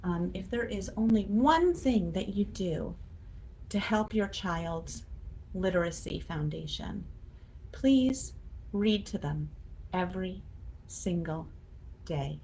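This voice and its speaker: Female educator, slightly low voice, encouraging and conversational, addressing parents; some background noise. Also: expressive, slow, pauses often, mellow, rough recording. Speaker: children's literacy educator and blog host. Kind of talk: casual educational talk to parents.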